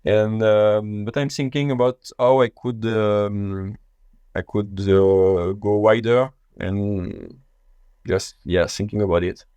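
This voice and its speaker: A man with a French accent, a native French speaker, talking as a podcast guest in a casual interview, halting and searching for his words in English. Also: on the low side, steady tone, warm tone, clean tone, dry recording, lo-fi sound. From France.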